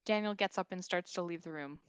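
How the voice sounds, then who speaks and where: A woman's voice: on the low side, a little fast, clear, resonant tone, dry recording. A woman narrating the stage directions of a scripted comedy table read.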